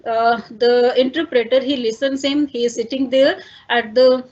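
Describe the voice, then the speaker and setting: Indian woman lecturer, native Hindi speaker, high and slightly husky, explains step by step and checks in with "fine". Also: fairly even intonation, moderate pace, a little mellow, highs cut off. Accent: Indian English. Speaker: teacher. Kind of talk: online lecture.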